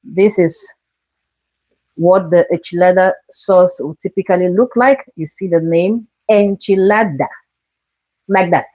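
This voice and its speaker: A woman's voice: on the low side, measured pace, darkish tone, no echo, rough recording. Woman from cameroon hosting a live cooking show, takes her time, chatty, walks thru every step. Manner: chatty, talks through each step, greets viewers.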